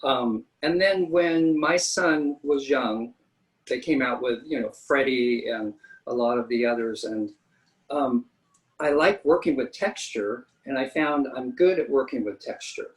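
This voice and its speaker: A man's voice: medium pitch, unhurried, rough recording. A man working as a special effects artist, telling stories in a chatty, enthusiastic way during an interview. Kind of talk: casual interview answers.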